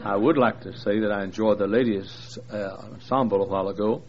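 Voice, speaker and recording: A man's voice: on the low side, fairly even intonation, a little fast, a little mellow, some chatter behind. A man in his sixties, a visiting preacher, joking folksily with a large congregation, with many fillers. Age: 60s. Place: large church. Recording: dull lo-fi recording with faint noise.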